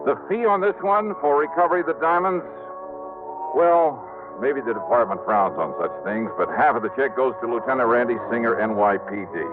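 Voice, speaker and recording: A man's voice: medium pitch, fairly quick, lightly acted. An actor playing a male insurance investigator who wryly sums up his expenses in a 1950s radio drama. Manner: dry, wry. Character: wry male insurance investigator signing off a report. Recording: tinny old radio recording with music.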